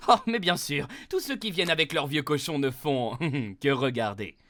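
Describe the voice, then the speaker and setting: A man voicing a smooth-talking, pushy salesman in an animated comedy dub, chummy and oily. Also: on the high side, moderate pace. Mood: slick.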